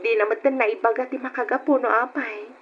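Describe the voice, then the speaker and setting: A woman's voice: fairly high pitch, steady tone, a little fast, lightly acted. An actress playing a polite, earnest, grateful young working woman and devoted daughter in a radio drama. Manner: deferential, earnest. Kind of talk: Ilocano radio drama acting. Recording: dull, noisy old radio drama recording.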